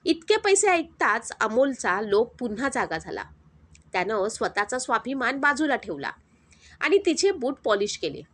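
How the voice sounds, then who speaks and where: A woman narrating a moral story in a steady, dramatic storytelling manner. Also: fairly high pitch, normal speed.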